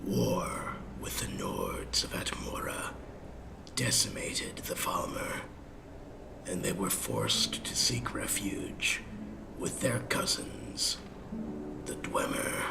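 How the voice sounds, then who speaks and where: A man voicing a proud old male pirate who tells lore in a slow, hushed, gravelly whisper. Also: low voice, wildly swooping pitch, exaggerated voice. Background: game music.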